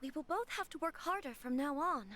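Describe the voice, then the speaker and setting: High-voiced, gracious young noble lady. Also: animated, fairly quick, sharp tone. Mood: earnest. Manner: polite, formal, earnest. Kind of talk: video game voice acting.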